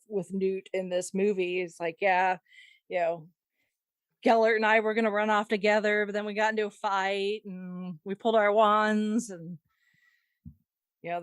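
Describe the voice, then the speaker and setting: A woman's voice: medium pitch, no echo. A woman co-hosting a casual fan discussion, chatty and rambling, with lots of "like" and "you know". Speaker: podcast co-host.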